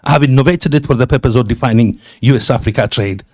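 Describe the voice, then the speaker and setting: Male speaker, Ethiopian accent, mid-pitched, for a heartfelt civic speech with halting, emphatic oratory. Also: a little mellow, dry recording, clean studio sound. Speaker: community member seconding a nomination. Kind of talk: public speech at a civic meeting.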